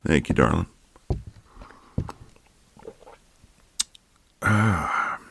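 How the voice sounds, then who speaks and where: An easygoing, flirtatious ex-marine next door, acted by a man for an intimate ASMR roleplay. His voice is extremely low and full of gravel. He takes his time in a casual drawl with pauses. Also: lively intonation, fairly bright tone, a little hiss or hum, exaggerated voice. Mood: warm, flirtatious. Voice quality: deep, gravelly.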